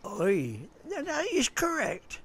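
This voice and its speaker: Evasive shipping manager played by a man, slow and halting, pitch swooping around. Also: wildly swooping pitch, fairly bright tone, slight background noise, full character voice. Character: evasive middle-aged male shipping manager. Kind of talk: amateur radio drama acting.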